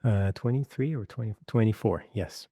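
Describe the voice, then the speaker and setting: A man's voice: on the low side, normal speed, mellow, slightly rough, dry recording, studio quality. A man in his forties from Iran, a guest in a casual interview, talking hesitantly with many fillers and restarts. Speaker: guest, Foley mixer.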